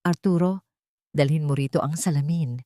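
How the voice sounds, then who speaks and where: A woman's voice: deep voice, normal speed, mellow, no echo, natural voice. Woman voicing a wronged, bitter young heiress, close and dry. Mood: resolute.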